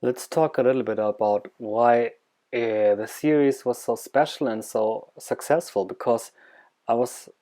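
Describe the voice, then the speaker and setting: A man with a German accent giving an informal presentation, halting and searching for words, with enthusiasm for what he presents. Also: medium pitch, fairly even intonation, dark tone.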